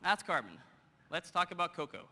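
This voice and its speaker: A man in his thirties giving a lively live software demo on a conference stage, joking with the audience. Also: high-pitched. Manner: energetic, quick and joking with the audience. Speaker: presenter. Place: large conference hall stage. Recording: hall reverb, faint noise.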